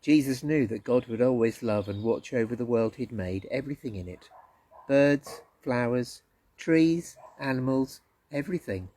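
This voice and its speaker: A man reads a children's story aloud with gentle expression. His voice is soft-edged and mellow, sitting in a middle register, with a husky grain to it. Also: mid-range voice, animated, moderate pace. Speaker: story reader at a church.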